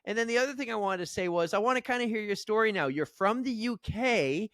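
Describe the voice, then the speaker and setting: Chatty guy hosting a podcast, kinda high voice, smooth and clear, dry mic. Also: expressive, fast, fairly bright tone, no room sound. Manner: chatty, friendly questioner. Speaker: podcast host. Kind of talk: casual podcast interview.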